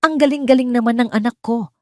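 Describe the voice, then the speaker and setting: A woman with a Filipino accent voicing a calm, self-possessed young mother in an audio drama. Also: fairly high pitch, fast, dry recording, clean studio sound, pitched above the performer's own voice, lightly acted. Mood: proud delight. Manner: calm, simple, composed.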